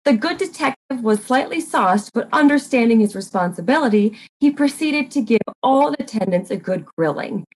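High-voiced woman reading deadpan mystery narration. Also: moderate pace, few pauses, low-quality recording.

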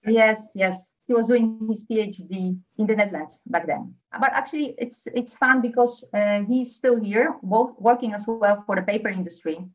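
A woman's voice: medium pitch, lo-fi sound. A young woman from Poland with a Polish accent, speaking as a guest on a podcast interview, hesitant with many fillers. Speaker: engineer guest.

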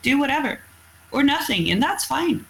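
Norwegian woman, non-native English speaker, bright clear tone, casual guest storyteller, light background hiss. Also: sits high, sing-song, moderate pace, low-quality recording. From Norway. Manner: candid, storytelling, blunt with mild cursing. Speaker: guest, coastal fisherman. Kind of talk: casual interview chat.

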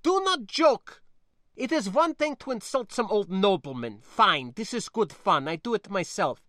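A male narrator plays a proud young niece in an audiobook. The voice is raised high and bright, with formal, clipped phrasing. It is scornful and fiery, with worry underneath, and recorded very dry. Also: sing-song, unhurried, no room sound, full character voice. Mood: scornful, heated.

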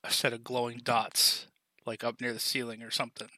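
Bright-voiced man chatting, halting delivery. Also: medium pitch, completely flat delivery, no echo. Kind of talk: casual podcast discussion.

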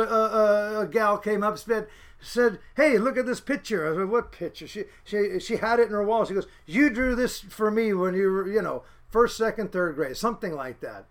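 A middle-aged man with a notably high voice, raised speaking Norwegian, looks back on his childhood in a loose, rambling way. He stops and restarts his sentences often, leaning on fillers as he talks. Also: fairly bright tone. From Seattle area, USA. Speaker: fisherman's son recalling childhood. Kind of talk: casual reminiscing.

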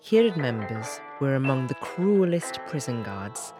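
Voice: medium pitch, sing-song, measured pace. A narrator reading a scripted, factual introduction and historical commentary for an audio drama. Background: plucked guitar music.

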